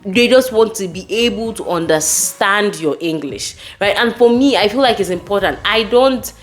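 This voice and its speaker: A woman's voice: medium pitch, animated, normal speed. A woman from Nigeria with a Nigerian accent gives casual advice to viewers as a host, speaking emphatically and repeating key words. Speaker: host giving career advice.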